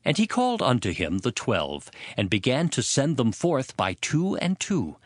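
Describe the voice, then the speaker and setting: A man narrating a dramatized scripture production with measured, expressive storytelling. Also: mid-range voice, no echo, clean studio sound. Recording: music behind the voice. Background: prominent background music.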